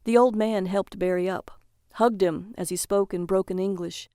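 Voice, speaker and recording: A woman's voice: mid-range voice, fairly even intonation, normal speed, mellow, no echo, clean studio sound. A woman reading a scripted short story as the narrator of audio fiction.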